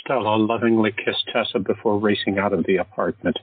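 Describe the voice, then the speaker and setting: A man narrating an audio drama in a steady, dramatic storytelling manner. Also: fairly low pitch, a little fast, husky, dry recording, phone-quality sound.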